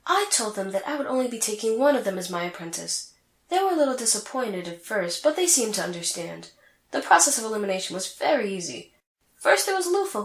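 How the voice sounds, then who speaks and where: Haughty, high-voiced anime sorceress, echoey. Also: female voice. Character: vain, haughty young female sorceress rival from an anime. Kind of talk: comedic anime fan audio drama acting.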